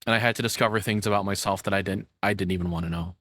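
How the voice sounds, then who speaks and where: A man's voice: sits low, steady tone, very fast, fairly bright tone, no echo, clean studio sound. A young man giving casual reaction commentary as a streamer, rambling and frank with some swearing.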